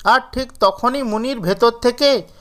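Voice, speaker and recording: A male narrator with a high-pitched voice reads a scripted children's story. He delivers it as storytelling narration. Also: a little slow, sharp tone.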